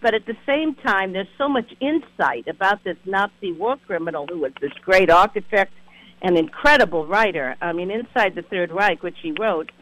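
A man's voice: sits high, expressive, normal speed, pushed and bright, no echo, low-quality recording. A man hosting a conversational radio interview in an effusive, emphatic way. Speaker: radio show host.

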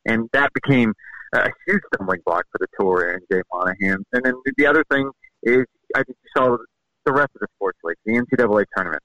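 A man reports from the scene in a quick, bright, pressing voice, laying things out step by step. The sound is dry and close. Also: fairly low pitch, lively intonation, no room sound, lo-fi sound. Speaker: golf reporter on site. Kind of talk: rapid on-air report.

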